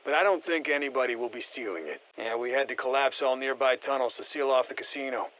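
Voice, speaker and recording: An actor playing a seasoned, wry special forces operative in a video game, speaking matter-of-factly through a radio filter. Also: male voice, mid-range voice, fast talker, a bit bright, lo-fi sound. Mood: sober, matter-of-fact. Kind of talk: scripted game voice lines, field commentary.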